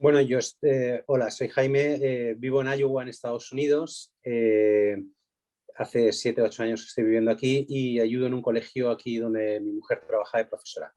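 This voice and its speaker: Man in his fifties, mellow dark tone, medium pitch, casual introduction, video call. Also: steady tone, moderate pace. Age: 50s. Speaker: student guest. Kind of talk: brief casual self-introduction.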